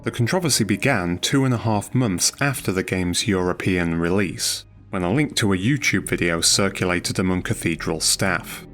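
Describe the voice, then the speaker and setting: A man's voice: sits low, normal speed, few pauses, a bit bright. A man reading scripted documentary narration over music.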